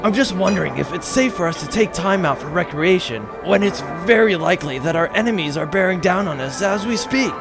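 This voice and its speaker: Man as a creaky-voiced, nervous space outlaw. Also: sits high, expressive, fairly quick, few pauses, music in the background. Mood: worried, earnest. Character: nervous, earnest male space outlaw, worrying sidekick.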